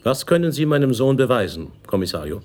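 A man's voice: mid-range voice, sing-song, fast talker, a little mellow, natural voice. An actor playing a wary older Italian businessman and protective father in a radio play, guarded and probing with short questions. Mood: suspicious, challenging.

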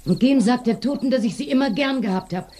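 An actress playing a gruff but kindly village tavern keeper in a radio drama, blunt and matter-of-fact. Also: female voice, fairly high pitch, quick pace, mellow, a little hiss or hum, close to an everyday voice. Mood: brusque, kindly. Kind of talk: German radio drama acting.

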